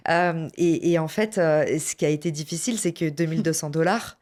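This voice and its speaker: Lively low-voiced French woman, slangy. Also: brisk. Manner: lively, slangy, many fillers and restarts. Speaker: podcast guest, entrepreneur. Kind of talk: casual interview storytelling.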